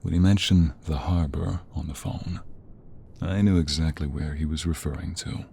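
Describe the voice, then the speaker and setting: A male performer plays a troubled mobster who is devoted to his lover in an audio roleplay. His voice is low and creaky, and he talks slowly. He sounds earnest and protective, over faint background noise. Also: steady tone, mellow. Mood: brooding. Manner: brooding, earnest, tender toward his lover. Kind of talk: ASMR roleplay acting.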